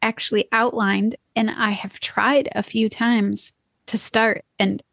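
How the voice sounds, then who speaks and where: A woman with a small, soft voice co-hosting a podcast in casual chat, hesitant with fillers. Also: on the high side, flat delivery, slow pace, gravelly, dry recording, clean studio sound. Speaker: podcast co-host.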